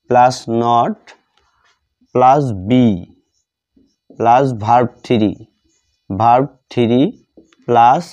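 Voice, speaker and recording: A male teacher whose first language is Bengali explains a lesson step by step. His voice is mellow and medium-pitched, and he goes slowly, repeating terms as if writing them out. The recording sounds slightly dull and lo-fi. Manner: deliberate, repetitive, dictating term by term. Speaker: English grammar teacher.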